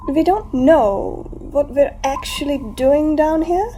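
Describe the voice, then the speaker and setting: Woman as a high-pitched, skeptical submarine crew member. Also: takes it slowly, background noise. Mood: doubtful. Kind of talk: radio play acting.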